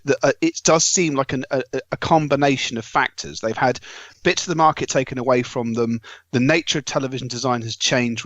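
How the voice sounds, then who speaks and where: British male podcast reviewer, wry and wordy, average pitch, slightly muffled dry recording. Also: fairly bright tone, no room sound. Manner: wordy, wry, formal phrasing. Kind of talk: podcast discussion of home tech.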